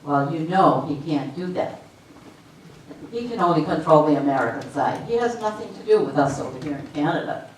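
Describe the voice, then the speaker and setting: A woman playing a reasonable, level-headed secretary with a calm, matter-of-fact delivery on stage. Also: sits low, a little fast. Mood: calm, skeptical. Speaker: amateur stage actor. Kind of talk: readers theater stage performance. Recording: echoey hall, distant mic, faint noise.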